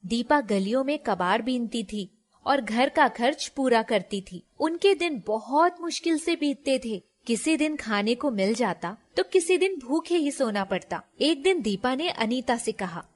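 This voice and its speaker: Female storyteller, fairly high pitch, scripted children's moral-story narration. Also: animated, unhurried.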